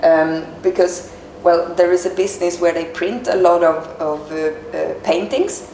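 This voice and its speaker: A woman's voice: fairly low pitch, moderate pace, mellow, reverberant, slight background noise, poor audio. A young woman with a Swedish accent, a guest in a casual interview, talking hesitantly with many fillers. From Sweden. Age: young adult.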